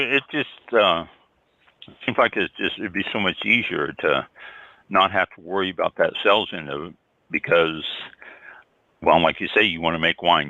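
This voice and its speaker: A man hosting a radio interview, talking haltingly with sudden upward jumps in pitch. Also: bright, forceful tone, no room sound. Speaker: radio show host. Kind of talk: interview chat. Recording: dull lo-fi dry sound.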